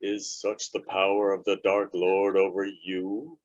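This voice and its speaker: A man playing a grave old wizard in a table read, declaiming slowly and solemnly. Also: low voice, completely flat delivery, muffled recording. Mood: solemn challenge.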